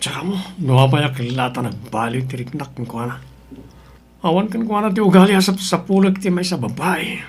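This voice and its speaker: A man's voice: a little high, steady tone, brisk, a little mellow, breathy edge. A man with a Filipino accent playing a troubled, stubborn husband in an Ilocano radio drama. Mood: confessing, troubled. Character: troubled, stubborn young husband, fallen out of love.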